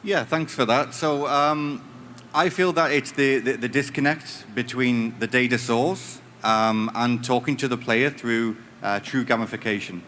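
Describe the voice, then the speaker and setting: A man's voice: medium pitch, a little slow, fairly bright tone, a little hiss or hum. A man speaking as a panelist at a conference, explaining with many ums and restarts. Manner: hesitant, many fillers. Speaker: panelist, business director. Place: conference stage.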